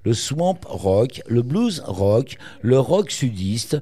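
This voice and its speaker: A man's voice: medium pitch, big pitch swings, drawn-out words, dark tone, breathy edge. A man co-hosting a local radio music show, telling band history with playful jokes and asides. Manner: jokey, playful asides while reading facts. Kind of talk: casual radio chat about rock history.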